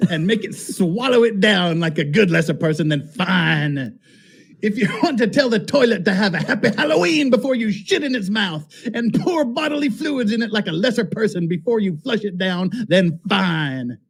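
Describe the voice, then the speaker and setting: A man with a noticeably high, husky voice delivers a dramatic reading of a written text. He sounds amused by what he reads and slips in asides of his own. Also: animated, moderate pace. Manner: amused, often breaking into laughter as he reads. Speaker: reader and commentator.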